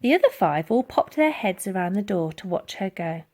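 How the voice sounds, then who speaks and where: A woman's voice: medium pitch, moderate pace. A woman reading a children's book aloud as dramatic, theatrical narration. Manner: expressive, theatrical. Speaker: storybook reader.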